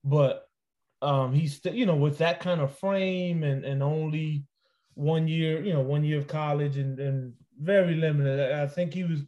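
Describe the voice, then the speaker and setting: A man with a fairly high voice talks as a guest on a sports podcast. He weighs his views in a measured way and thinks aloud with fillers. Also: fairly even intonation, dark tone, highs cut off. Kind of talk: casual sports analysis chat.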